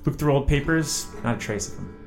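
Audio drama casting: male actor as wary psychic test subject, grieving, earnest, defensive, mid-pitched, light score underneath. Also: lively intonation, fairly quick, gravelly, soft background music. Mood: grieving, urgent.

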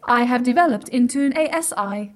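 A high, female-voiced superintelligent computer from an audio comedy, acted by a woman. It speaks in stilted, exact, formal phrases with a deadpan delivery. It is pedantic and literal-minded, and quietly smug about its own intellect. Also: brisk, lightly acted. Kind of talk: scripted audio comedy drama.

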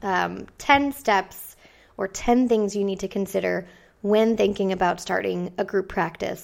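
A woman hosting a business podcast, talking casually with frequent ums and a voice made raspy by a cold. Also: medium pitch. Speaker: podcast host. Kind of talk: solo business podcast talk.

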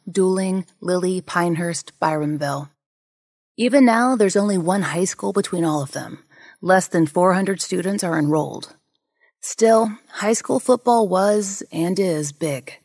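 A woman with a deeper, lower voice, hosting a podcast and giving calm, measured scripted narration. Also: dry recording, studio quality. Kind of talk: scripted true-crime narration.

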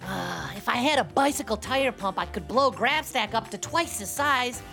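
A woman as a high-voiced, eager boy hero. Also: lively intonation, normal speed, gravelly, sharp tone, full character voice. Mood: earnest. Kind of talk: acted children's adventure story. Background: faint music.

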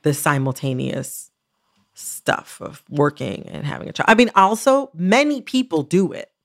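Creaky-voiced American woman podcaster. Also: deep voice, sing-song, measured pace. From United States. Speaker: podcast co-host. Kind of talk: casual conversational podcast chat.

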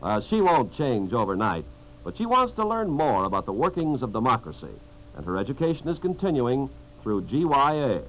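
A man's voice: mid-range voice, big pitch swings, moderate pace. An American man reading a scripted announcement in 1950s radio style. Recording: muffled, hissy old radio recording with hum.

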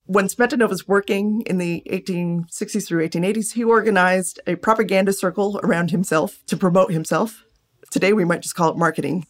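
A woman's voice: mid-range voice, steady tone, fairly quick. A woman speaking as an interviewed scholar, explaining things casually and thinking aloud as she goes. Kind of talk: interview answers.

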